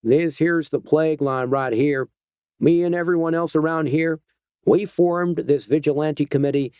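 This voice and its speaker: A man's voice: a little high, a little mellow, clear, resonant tone, dry recording, studio quality, voice pushed up. A man voicing a patient, menacing rural male vigilante with a twangy Georgia accent, in an audiobook. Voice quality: twangy, drawling, sniffing.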